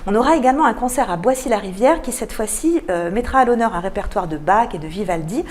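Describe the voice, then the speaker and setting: A woman speaks as a guest in a radio interview at a middling pitch. She explains things in an articulate way, with enthusiasm for what she presents. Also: lively intonation, fast talker, a little hiss or hum. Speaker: guest, cultural programme manager.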